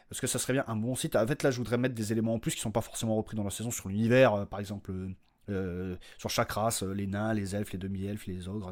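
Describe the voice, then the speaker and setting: A man's voice: fairly low pitch, a little mellow. A man hosting his own podcast, giving an unscripted, informal announcement in a rambling, slangy, self-deprecating way. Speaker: podcast creator and host.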